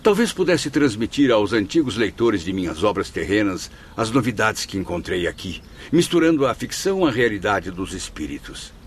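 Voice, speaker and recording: A man's voice: lowish voice, sing-song, moderate pace, few pauses, a little hiss or hum. An actor in a radio drama playing the spirit of a proud Portuguese male novelist, florid, formal and theatrical. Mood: courteous, eager.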